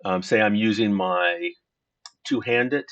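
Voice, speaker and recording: A man talking through his game setup in a relaxed walkthrough, explaining step by step and correcting himself as he goes. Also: on the low side, dull audio. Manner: unhurried and explanatory, with frequent self-corrections. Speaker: gaming tutorial host. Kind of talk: casual screen-recorded game walkthrough.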